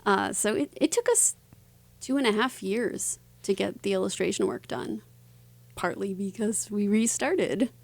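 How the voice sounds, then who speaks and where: A woman's voice: medium pitch, measured pace, gravelly. A woman author speaking casually as a guest in an interview.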